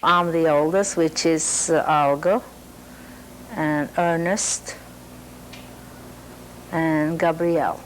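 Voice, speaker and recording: A woman's voice: sits low, steady tone, slow, long pauses, a little hiss or hum, rough recording. An older woman from Slovakia, recalling early life in a plain, hesitant way. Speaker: interviewee. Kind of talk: personal oral-history reminiscence.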